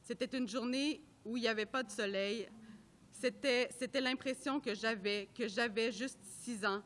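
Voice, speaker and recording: A woman reading a report aloud at a public event, in a measured, careful way that sometimes trips over names. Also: a little high, a bit bright, slight background noise. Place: art gallery at a live event.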